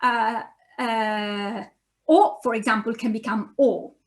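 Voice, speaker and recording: A woman's voice: on the high side, fairly even intonation, slow pace. A woman whose first language is Italian, with an Italian accent, giving a recorded beginner language lesson and patiently spelling out sounds for learners to repeat. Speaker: university Japanese lecturer.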